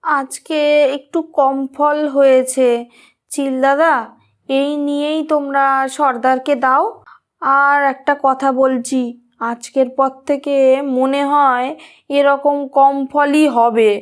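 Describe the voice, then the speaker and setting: A woman's voice: high voice, little pitch movement, slow pace. A woman voicing a small, polite, earnest fruit-selling bird in a children's cartoon. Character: small clever fruit-selling bird, pleading but plucky. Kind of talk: Bengali children's cartoon voice acting.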